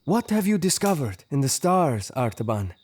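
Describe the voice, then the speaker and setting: A young, attentive Magus in an audio drama. His soft-edged, mellow voice is respectful, formal and measured. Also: fairly high pitch, very animated, a little hiss or hum. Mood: respectful, curious. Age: young adult.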